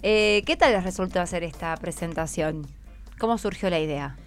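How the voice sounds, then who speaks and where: A woman's voice: on the low side, moderate pace, faint music behind. A woman with a Buenos Aires accent hosting a school radio show, encouraging and full of questions as she talks. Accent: Argentine, Buenos Aires. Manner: encouraging, asks many questions. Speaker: radio host. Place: radio studio.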